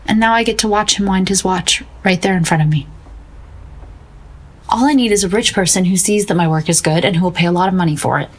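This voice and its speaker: An actress playing a young painter in an audio drama, infatuated and defiant, with a husky voice and an earnest, gushing way of talking. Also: female voice, on the low side. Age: young adult. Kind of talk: naturalistic audio drama acting.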